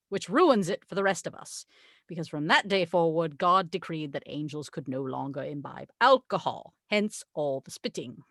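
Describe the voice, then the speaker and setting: Casting: haughty angel played by a woman, lower-pitched, very animated, condescending and wry, table-read style. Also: no echo, studio quality. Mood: wry storytelling. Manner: exasperated, condescending, wry storytelling. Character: haughty, sarcastic tequila-loving angel, the voice of God. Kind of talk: table read of a film script.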